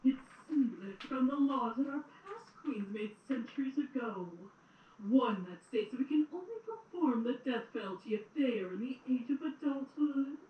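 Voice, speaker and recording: A woman playing a cruel, menacing, imperious queen in an audio drama, theatrical and commanding with drawn-out words. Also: high voice, animated, highs cut off. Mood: sinister scheming. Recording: roomy, distant playback with some noise.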